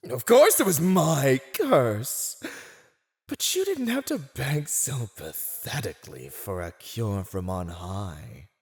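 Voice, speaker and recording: A man's voice: low voice, big pitch swings, slow, heavily acted. A man voicing a mocking, silver-tongued fantasy villain in a scripted ASMR roleplay, gloating over a captive in a teasing, condescending, theatrical drawl. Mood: gloating, scornful. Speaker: voice actor.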